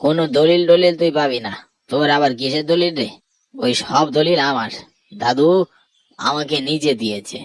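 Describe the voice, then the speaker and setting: A man's voice: fairly high pitch, moderate pace, pauses often, close to an everyday voice. A man voicing a greedy, cruel older brother in a Bengali horror cartoon, scornful and domineering. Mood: scornful, threatening.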